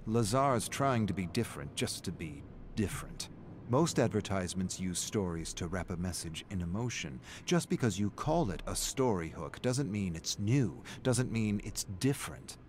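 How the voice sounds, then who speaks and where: A man reading scripted game lore in a measured, dramatic way. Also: on the low side, expressive, darkish tone, slight background noise. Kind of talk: scripted story narration.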